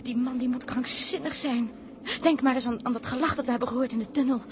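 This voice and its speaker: A woman's voice: high-pitched, fairly even intonation, quick pace, background noise, lightly acted. An actress playing an anxious young woman held captive aboard a ship, speaking in a worried, urgent way, in an early 1960s radio drama.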